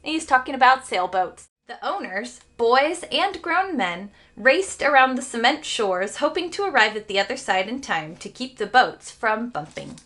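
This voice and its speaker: A woman with a fairly high, bright voice reads a children's storybook aloud as a teacher would. Her pitch moves expressively through the narration, and she is animated, pausing to explain for young listeners.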